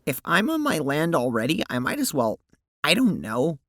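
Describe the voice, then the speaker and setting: A woman playing an anguished teenage girl and her timid double in an audio drama, speaking haltingly. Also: deep voice, wildly swooping pitch, a bit bright, dry recording, studio quality, heavily acted. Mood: sullen, resigned. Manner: stammering, halting. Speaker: voice actor.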